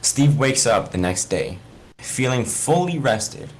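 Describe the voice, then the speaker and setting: A man's voice: mid-range voice, very animated, slow. A man reading a fan fiction story aloud informally, stumbling over words and correcting himself. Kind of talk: informal read-aloud narration among friends.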